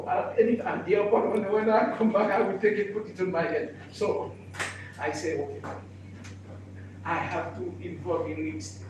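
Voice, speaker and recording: An older man from Haiti, introducing himself in a halting speech with many restarts. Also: high-pitched. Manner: halting, repeats and restarts phrases. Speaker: real estate association president. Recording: echoey room, mic far off, background noise.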